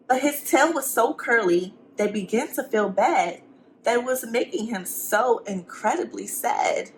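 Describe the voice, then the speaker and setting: Woman, primary teacher, expressive storybook delivery, slow pacing, encouraging asides to kids, faint noise behind. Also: mid-range voice, poor audio. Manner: simple, encouraging teacherly phrasing, putting questions to children. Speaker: teacher reading a storybook to young students. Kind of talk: expressive children's read-aloud with asides to the listeners.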